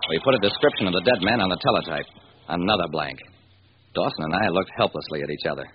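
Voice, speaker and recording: Brisk, animated radio detective. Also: male voice, sits low. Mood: wry, determined. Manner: hard-boiled, wry. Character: driven male police detective narrating his case. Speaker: narrator. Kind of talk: old-time radio crime drama narration. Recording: dull, noisy vintage radio recording.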